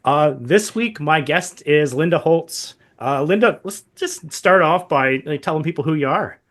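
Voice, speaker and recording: A man's voice: on the high side, lively intonation, measured pace, a bit bright. A man hosting a casual podcast interview, talking informally in choppy, restarted phrases. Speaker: podcast host.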